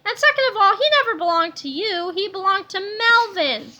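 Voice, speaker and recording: A woman playing a calm, wisecracking young schoolboy in a children's book reading. He sounds high and bright, and stays unruffled. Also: normal speed, lo-fi sound, exaggerated voice. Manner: cool and wisecracking.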